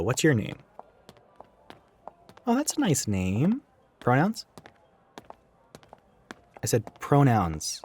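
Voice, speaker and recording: A man's voice: on the low side, darkish tone, a little hiss or hum. A man playing a chatty, sarcastic, gender-ambiguous retail coworker who goes by she, in a casual, rambling audio roleplay addressed to the listener. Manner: rambling, sarcastic, flippant, with sighs and chuckles.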